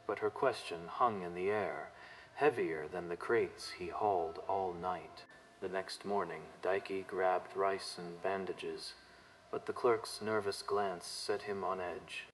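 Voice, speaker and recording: A man's voice: low voice, unhurried, slight background noise, poor audio. A man narrating a dramatic manga story from a script.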